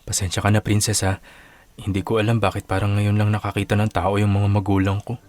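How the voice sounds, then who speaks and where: An actor playing an embarrassed, protective young Filipino son who complains mildly in a radio drama. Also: male voice, on the low side, monotone, a little fast, darkish tone, dry recording, natural voice.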